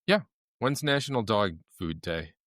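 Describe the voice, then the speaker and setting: A man's voice: fairly low pitch, big pitch swings, a little slow, fairly bright tone, dry recording, clean studio sound. A man co-hosting a podcast, casually chatting and reading items off packaging with a wry manner. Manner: wry, reads items off lists. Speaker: podcast co-host.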